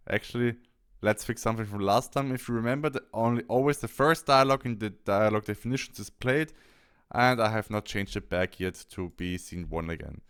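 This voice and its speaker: A man presenting a software tutorial step by step, thinking aloud a little hesitantly as he demonstrates. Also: lowish voice, smooth.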